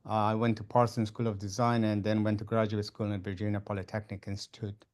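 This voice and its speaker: An older man with a low, smooth and resonant voice introduces himself on a video call. His delivery stays flat and even. He is a retired architect and speaks in a measured, plain-spoken way. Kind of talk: self-introduction in an online meeting.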